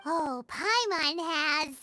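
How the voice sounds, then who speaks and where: A woman's voice: sits high, drawn-out words, dry recording, heavily acted. A woman voicing a tiny, cheerful, childlike fairy companion who loves food, in video game acting. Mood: eager. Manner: refers to self in third person, excitable.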